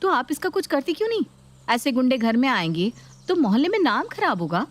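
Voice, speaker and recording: A woman's voice: high voice, very animated, brisk, pitched above the performer's own voice. A woman voicing a sly female tenant, a scheming and gossiping meddler, in a dramatised story. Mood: meddling. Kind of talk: dramatised Hindi story video.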